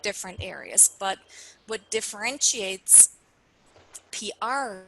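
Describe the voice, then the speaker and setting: A woman's voice: medium pitch, animated, slow, gravelly, sharp tone, slight background noise, low-quality recording. A woman from Switzerland, a native German speaker, chatting as a guest in a webinar interview, friendly and full of fillers. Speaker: PR consultant guest.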